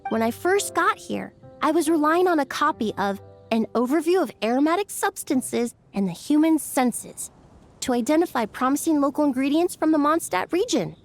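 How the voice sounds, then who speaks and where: A woman's voice: sits high, lively intonation, normal speed. An actress playing a refined, courteous young woman in a video game, speaking with polite, precise formality. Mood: polite, reflective. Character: refined, courteous young female perfumer with discerning tastes. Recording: faint music behind the voice. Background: faint game music.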